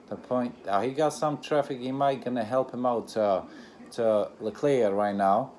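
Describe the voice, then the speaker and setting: Low, mellow non-native man commentating. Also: a little hiss or hum. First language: not English. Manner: halting, repetitive phrasing with broken grammar. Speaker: reaction video host. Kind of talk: informal live race commentary.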